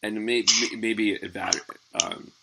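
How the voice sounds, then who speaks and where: Host: a man in his forties with a low, gravelly, husky voice, hesitant and hedging. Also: steady tone, unhurried, very noisy. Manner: hesitant, restarts and hedges. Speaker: podcast host. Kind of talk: casual interview chat.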